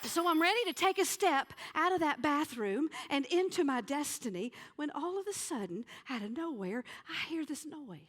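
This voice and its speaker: High-voiced woman telling comic stories. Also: expressive. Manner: dramatic pauses, swings from hushed to emphatic. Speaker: storytelling comedian. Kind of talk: theatrical comic storytelling to a live audience. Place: live on stage at an event.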